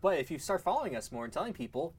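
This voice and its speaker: A man's voice: fairly high pitch, sing-song, rapid-fire, a little mellow, breathy edge. A man bantering casually as co-host of a podcast.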